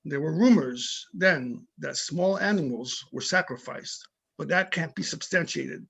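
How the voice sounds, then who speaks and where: Man to play small-town older police chief for a play reading: tough but friendly, opinionated, husky and high for a man. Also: measured pace, fairly bright tone, full character voice. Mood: matter-of-fact. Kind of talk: amateur readers theater reading over videoconference.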